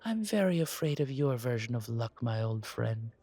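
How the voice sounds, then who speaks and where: A man voicing a courtly, wary, centuries-old vampire in a roleplay audio drama, speaking in a measured, formal, breathy way. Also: mid-range voice, expressive, mellow, dry recording. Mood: amused, polite. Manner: measured, formal, politely dry.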